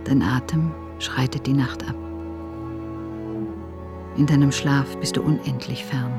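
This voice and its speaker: An actress playing a tender, longing woman in a radio play, giving a poetic monologue in a lyrical, sometimes hushed way. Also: a bass voice, steady tone, unhurried. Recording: ambient music under the voice. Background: soft new-age music.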